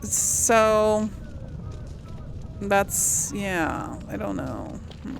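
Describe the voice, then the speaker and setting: Woman doing chill lets play commentary, slow n hesitant, thinking out loud, bit of bg noise. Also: mid-range voice, lively intonation, creaky. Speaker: gaming video host. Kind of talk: casual let's play commentary.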